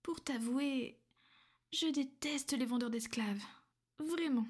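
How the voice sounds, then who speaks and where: Woman as a calm, possessive vampire lady with a high, soft voice that slips into whispers; ASMR roleplay. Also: takes it slowly, husky. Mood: calm, matter-of-fact. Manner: soft, soothing, often whispered. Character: gentle, possessive female vampire noblewoman.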